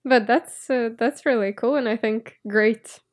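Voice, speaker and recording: A woman who grew up in Spain speaks as a guest in a relaxed interview. Her voice sits a little high, and she talks in a chatty, opinionated way. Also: a little slow. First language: Russian. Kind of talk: casual conversational interview.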